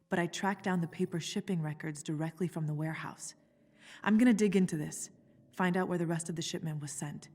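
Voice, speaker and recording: Wary heiress for a game, lowish firm voice, very echoey. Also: female voice, darkish tone. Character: guarded, determined young corporate heiress turned investigator. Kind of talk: video game voice acting.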